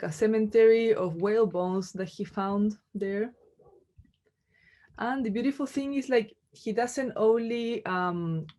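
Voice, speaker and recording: A woman from Chile with a Chilean accent, a native Spanish speaker, giving an informal artist talk in a halting, conversational way peppered with filler words. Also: medium pitch, darkish tone. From Chile, Santiago. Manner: halting, conversational, frequent "like" and "you know". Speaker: visual artist giving a lecture.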